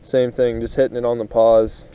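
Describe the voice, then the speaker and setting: A man's voice: medium pitch, moderate pace, clear, resonant tone. A young man casually talking to a head-mounted camera while fishing outdoors by a pond. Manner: relaxed, chatty, thinking aloud while casting. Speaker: fishing vlogger. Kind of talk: casual first-person commentary. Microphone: head-mounted action camera. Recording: windy outdoor action-cam audio. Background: wind noise.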